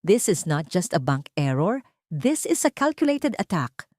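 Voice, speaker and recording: A woman's voice: on the low side, very animated, a little slow, darkish tone, no echo, clean studio sound. A woman voicing a weary, anxious man who is losing hope, in an audio drama. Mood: fearful, defeated. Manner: anxious, pleading.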